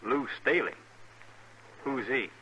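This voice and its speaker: Barney, bright, forceful folksy rancher. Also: male voice, mid-range voice, animated, slow, breathy edge, muffled recording, full character voice. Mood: puzzled. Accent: rural western American. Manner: folksy, earnest. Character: plucky, stubborn, plain-folks young western rancher husband. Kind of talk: 1950s western radio drama acting.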